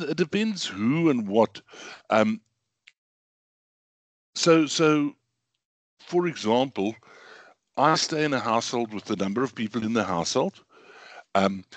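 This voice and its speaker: A middle-aged man with a hoarse voice from bronchitis, giving a casual online lecture with lots of ums and repeated words. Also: medium pitch, dry recording. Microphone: computer mic.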